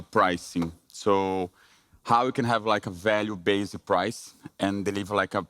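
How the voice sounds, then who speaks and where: A man with a Brazilian Portuguese accent presents at a business conference. He speaks in a somewhat low voice, often pausing on fillers and restarting phrases. The sound is close and dry, with almost no room echo. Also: a bit bright. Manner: halting, many fillers and restarts.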